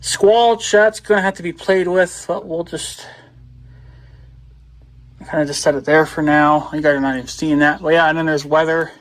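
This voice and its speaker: A man's voice: a little high, steady tone, moderate pace. A man casually demonstrating gadgets as a video blogger, rambling and thinking aloud as he goes.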